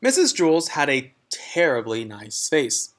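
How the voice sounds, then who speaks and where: Slow, animated man reading a story aloud. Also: a little high. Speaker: storybook reader. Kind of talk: slow, scripted read-aloud narration of a children's story.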